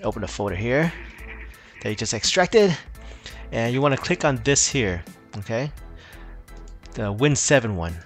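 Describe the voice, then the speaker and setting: A man's voice: mid-range voice, expressive, moderate pace, pauses often, faint music behind. Casual man talking through a tech fix. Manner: loose, rambling, many fillers and restarts. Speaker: tech tutorial host. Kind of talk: casual screen-recorded tutorial.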